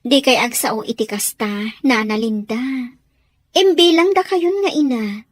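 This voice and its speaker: A woman acts a devoted young woman in an Ilocano radio drama. Her high voice has an Ilocano accent and is recorded without room echo. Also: measured pace. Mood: reassuring.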